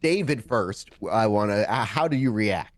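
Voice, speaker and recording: A man working as game master, narrating and refereeing a tabletop game in a casual, animated, conversational way. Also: on the low side, normal speed, no echo, clean studio sound.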